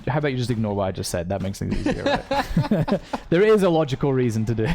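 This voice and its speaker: A man with a mix of British and American English, calmly analyzing a live esports match and laughing easily. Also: mid-range voice, fast talker, creaky, faint music behind. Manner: easygoing, laughs often, analytical. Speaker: esports co-caster. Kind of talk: live game commentary.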